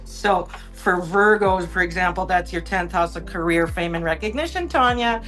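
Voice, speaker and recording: Woman host, slightly low voice, upbeat and chatty, video call. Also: normal speed, fairly bright tone, soft background music. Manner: upbeat, chatty, talks about astrology. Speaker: meetup host. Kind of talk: casual group chat on a video call.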